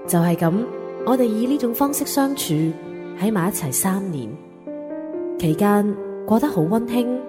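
A woman acting a young woman who fondly recalls her romance, in a measured, sentimental radio drama narration. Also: on the low side, lightly acted. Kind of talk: radio drama narration, confiding first-person storytelling. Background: music.

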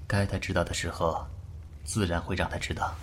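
An actor playing a calm, authoritative clan elder uncle who speaks in a measured, commanding way in a fan audio drama. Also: male voice, sits low, slight background noise, natural voice. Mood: stern.

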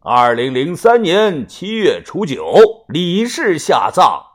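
A man voicing a commanding village feng shui master in an audio story, with bossy, ritual, drawn-out delivery. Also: fairly high pitch, big pitch swings, full character voice. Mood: solemn, ritual chanting.

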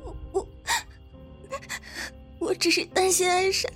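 A woman's voice: very high voice, little pitch movement, slow, faint music behind. A woman playing an earnest, emotional young caretaker of children in a zombie audio drama. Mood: tearful. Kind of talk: Chinese fan audio drama acting.

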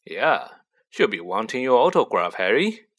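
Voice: medium pitch, big pitch swings, unhurried, a bit bright. A narrator as a cheeky grumbling teenage boy. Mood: teasing. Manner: complaining, teasing exclamations.

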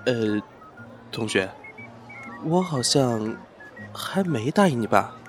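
Reserved teenage schoolboy played by a man, medium pitch. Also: animated, slow, faint music behind. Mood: exasperated. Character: polite, reserved, bookish teenage schoolboy, quietly exasperated. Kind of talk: amateur Chinese audio drama acting.

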